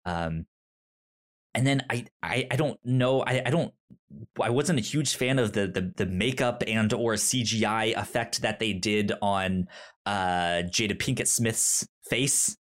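Male podcast co-host, lowish pitch, conversational, stop-and-start delivery with repeated words. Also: a bit bright. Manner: halting, repeats words as he thinks aloud. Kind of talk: casual film discussion.

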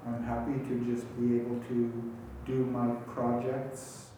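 A man speaks slowly and haltingly, with a dark, mellow tone, reflecting casually in plain words. He sounds far from the mic in a very echoey room. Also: mid-range voice, monotone. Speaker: amateur radio play cast member. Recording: very echoey, distant, noisy room.

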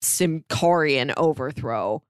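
A woman's voice: deep voice, lively intonation, takes it slowly, clean tone, no room sound, clean studio sound. A woman co-hosting a podcast, discussing comic books casually in a conversational, enthusiastic fan's manner. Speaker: podcast co-host.

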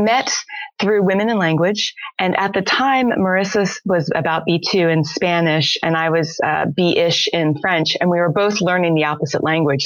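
A woman's voice: on the low side, no room sound, rough recording. A woman co-hosting a podcast interview, talking in an upbeat, chatty way. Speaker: podcast co-host.

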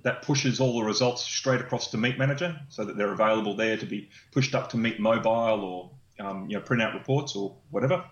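Man walking through a technical process, hesitant fillers, roomy and muffled recording. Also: mid-range voice. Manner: explanatory, many ums and uhs. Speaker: guest explaining swim-meet software. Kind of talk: casual podcast interview. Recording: dull, echoey room sound.

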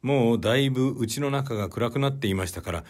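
A man playing a humble, troubled man in a period literary story, recounting his brother's death politely and in measured phrases. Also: medium pitch, darkish tone, close to an everyday voice. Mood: grave, humble.

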